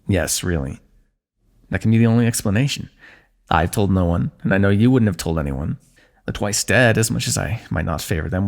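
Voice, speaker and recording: A man voicing a kingdom's ruler who gently chides and teases a wounded companion while tending his injury, in an intimate fantasy roleplay. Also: lowish voice, vocal fry. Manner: gentle, chiding, teasing, close and intimate. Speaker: ASMR roleplay performer. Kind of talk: scripted fantasy ASMR roleplay.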